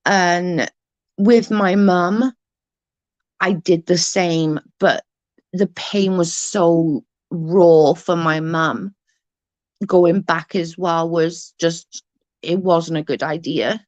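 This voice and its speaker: A woman with a stutter, chatting casually on a livestream as she reads out viewers' comments, halting and restarting her sentences. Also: fairly low pitch, fairly even intonation, darkish tone, no room sound, highs cut off. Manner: rambling, halting, often restarts and repeats words. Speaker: livestream host reading chat.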